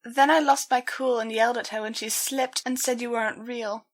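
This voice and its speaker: A young female pony from a dramatized story acted out by a woman, distraught and weighed down by guilt. Her voice sits high and she stammers, hesitating as she forces words out in pleading apology. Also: steady tone, normal speed, lightly acted. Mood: distraught, tearful.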